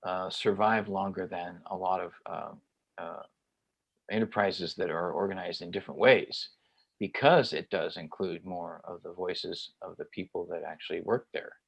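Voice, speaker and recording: A male interview host with a low voice, recorded dry and close. He pauses often and hunts for his words as he talks. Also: fairly even intonation, vocal fry, breathy edge, dry recording. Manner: halting, searching for words. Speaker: show host. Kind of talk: conversational interview.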